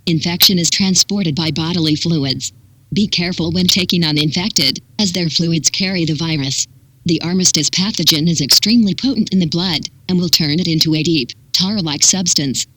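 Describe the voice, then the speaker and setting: A synthetic text-to-speech voice reading a scripted public warning in an impersonal, measured manner with clipped instructions. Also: mid-range voice, sharp tone, no echo, low-quality recording. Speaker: emergency broadcast announcer.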